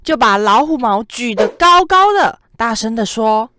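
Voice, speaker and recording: A young woman narrating a scripted children's story in an expressive, dramatic way. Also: fairly high pitch, unhurried, noisy background. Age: young adult.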